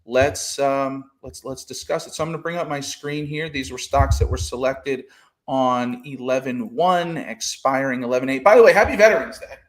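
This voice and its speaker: A man's voice: medium pitch, normal speed. A man hosting a casual trading podcast over a video call, rambling with lots of fillers and restarts. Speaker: podcast host.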